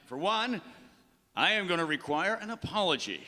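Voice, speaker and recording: An actor playing an eccentric, stubborn older baseball announcer who rambles theatrically as if calling a game, in a live radio drama. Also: male voice, sits high, animated, moderate pace, pushed and bright. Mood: stubborn, wistful. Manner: theatrical, rambling, play-by-play delivery. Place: large hall, on stage. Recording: live stage show in echoey hall.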